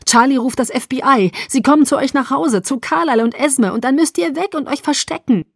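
Lady doing a desperate teen girl in an audiobook, high pitched, defiant, very dry sound. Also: animated, moderate pace, no room sound. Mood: desperate pleading. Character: frightened, defiant teenage girl pleading and shouting.

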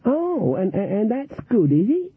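A man's voice: high-pitched, wildly swooping pitch, measured pace, breathy edge, no echo, voice pushed up, heavily acted. A man voicing an old woman witch who plays at sweet innocence, in a fluttery, dithering way. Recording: thin phone-like sound.

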